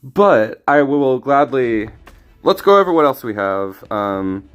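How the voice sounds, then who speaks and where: A man casually commenting on his card game as he plays, thinking aloud with frequent restarts, his voice a little raspy today. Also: mid-range voice, animated, slow pace, a bit bright, a little hiss or hum. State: slightly raspy voice. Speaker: streamer.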